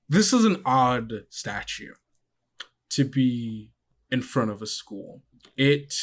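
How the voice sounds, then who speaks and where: Slow, halting male game master. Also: mid-range voice. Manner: halting, many fillers and restarts. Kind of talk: narrating a tabletop game.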